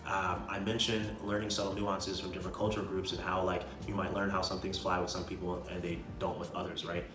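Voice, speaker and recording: A man speaks in a roomy, echoing space, some way from the mic, with music behind him. His voice sits on the low side and moves quickly. He talks like a casual self-improvement vlogger, emphatic and slangy, circling back to drive his points home. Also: flat delivery, distant mic. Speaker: video creator giving self-improvement advice. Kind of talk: casual motivational talk to camera.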